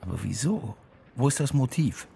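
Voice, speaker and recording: An actor playing a sober, sceptical middle-aged police detective in charge, in a naturalistic radio drama, with a husky voice. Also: male voice, medium pitch, expressive, normal speed, warm tone, a little hiss or hum. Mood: sober, questioning. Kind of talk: naturalistic radio play dialogue.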